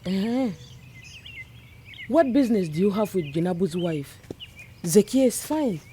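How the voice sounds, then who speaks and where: Slow, grumbling old village grandmother played by an actress. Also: mid-range voice, animated, warm tone, a little hiss or hum, lightly acted. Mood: guarded. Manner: grumbling, muttering interjections. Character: disapproving old village grandmother, widowed, traditional-minded. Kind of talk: radio drama acting.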